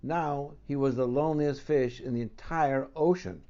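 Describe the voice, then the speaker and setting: Guy narrating a kids picture book, mellow voice, slightly dull recording. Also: mid-range voice, moderate pace. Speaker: storybook reader, host. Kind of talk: children's story narration.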